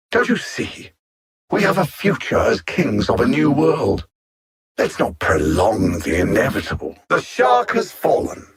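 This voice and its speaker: An actor playing an arrogant, cold alien supervillain in a video game, haughty and theatrical, savoring every word. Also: male voice, mid-range voice, a bit bright, slightly rough, exaggerated voice.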